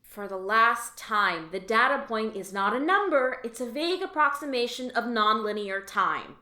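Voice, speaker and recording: An exasperated alien office worker. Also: female voice, fairly high pitch, animated, moderate pace, sharp tone, echoey room. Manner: exasperated, insistent.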